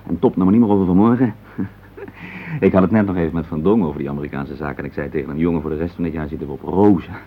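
An actor playing a busy, dismissive and placating businessman husband in a radio play, with a brisk, patronising manner. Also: sits low, breathy edge. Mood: cheerful, placating. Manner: brisk, patronising, full of tag questions. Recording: dull lo-fi radio play recording.

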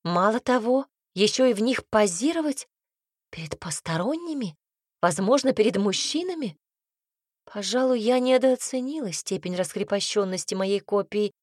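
A woman narrating a first-person story as an audiobook, reading in an even, expressive way. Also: medium pitch, normal speed, no echo, clean studio sound.

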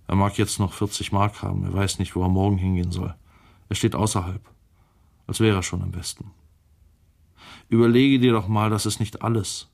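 A brooding, calculating man in a literary radio drama. His voice sits fairly low. He muses to himself in short, clipped phrases, as if weighing each option. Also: vocal fry, darkish tone. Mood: musing.

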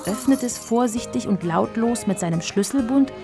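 An actress playing the narrator of a children's audio drama, telling the tale in a composed, measured, scripted way. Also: female voice, fairly high pitch, lively intonation, over music. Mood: composed storytelling.

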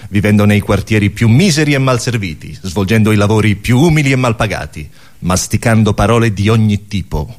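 A man's voice: fairly low pitch, expressive, fairly quick. A man delivering theatrical scripted narration for a radio comedy show as performer and narrator.

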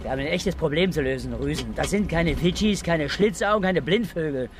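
A man's voice: fairly high pitch, expressive, brisk, noisy background, natural voice. An actor playing a quarrelsome, agitated village policeman in a radio drama, blunt and argumentative. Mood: heated.

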